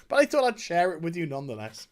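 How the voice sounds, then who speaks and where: A man chatting off the cuff about a video game as a streamer, effusive and overwhelmed, often halting, repeating himself and trailing off. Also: fairly high pitch. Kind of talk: unscripted rambling game review chat.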